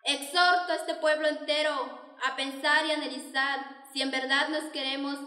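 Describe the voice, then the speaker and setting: A young woman, a native Zapotec speaker from Oaxaca, declaiming a poem slowly and emphatically as a student orator on an auditorium stage. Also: high voice, fairly even intonation, sharp tone. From Oaxaca, Mexico. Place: large indoor auditorium stage. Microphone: stand mic, filmed from far off. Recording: far-off roomy hall recording.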